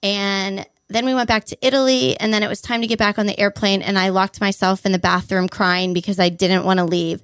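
A young woman from Hawaii tells her story with bright enthusiasm, piling up vivid details as she goes. Her voice sits at an ordinary middle pitch. The recording is close and dry, with no room sound. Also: little pitch movement, brisk, few pauses, smooth, no echo. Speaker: podcast guest. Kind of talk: storytelling interview answers.

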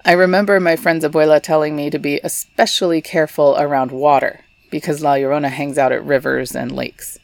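A woman's voice: low voice, fairly even intonation, a little fast, gravelly, few pauses, darkish tone, no room sound. A young adult woman from Texas, hosting a scripted storytelling podcast with chatty, wry asides. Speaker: podcast host.

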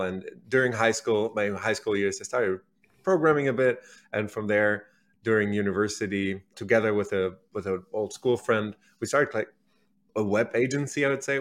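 A man in his thirties from Germany, speaking with a German accent as a podcast guest, casually telling his story. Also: on the low side. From Berlin, Germany. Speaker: podcast guest, company executive.

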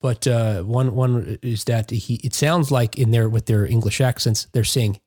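A man's voice: mid-range voice, fairly even intonation, measured pace, a little mellow, dry recording, clean studio sound. A man hosting a casual news chat with co-hosts, talking in a rambling, hesitant, conversational way. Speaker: podcast host.

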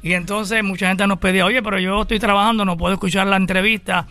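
An energetic Puerto Rican man hosting a radio interview show with enthusiasm. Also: high voice, fast. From Puerto Rico. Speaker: radio host.

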